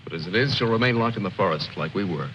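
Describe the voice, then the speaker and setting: An actor playing a level-headed military officer, measured, commanding and wry, in an old film style. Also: male voice, low voice, animated, fairly bright tone. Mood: wry, concerned. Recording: muffled old film audio, faint noise.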